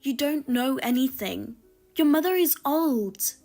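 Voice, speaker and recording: A scornful sister-in-law, as a woman puts her on, her high voice sharp and mocking as she lectures. The voice rises even above the reader's own high pitch, pushy and condescending. Faint music plays under the dramatized story. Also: a little slow.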